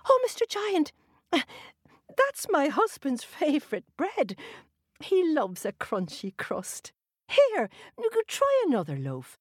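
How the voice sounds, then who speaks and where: A woman's voice: high-pitched, huge pitch swings, takes it slowly, no echo, studio quality, pitched above the performer's own voice, heavily acted. A woman doing sly, sweet-talking Una. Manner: sugary, coaxing, falsely polite.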